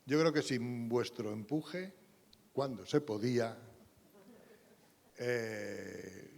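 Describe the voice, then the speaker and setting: A man's voice: medium pitch, very slow, vocal fry, a little mellow. A man giving an informal speech of thanks at a public event. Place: large indoor hall at a public event. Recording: echoey hall, audience noise behind.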